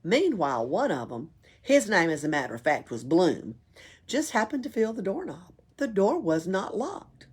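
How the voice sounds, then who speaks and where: A woman's voice: on the low side, sing-song, normal speed, slightly rough. A woman reading a children's book aloud expressively, as at a library storytime.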